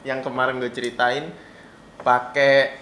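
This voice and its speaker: A man's voice: mid-range voice, flat delivery, a little slow. A young man, hosting a casual chat in a slangy, joking way. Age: young adult. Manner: slangy, joking, loud greetings. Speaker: host. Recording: echoey room with noise.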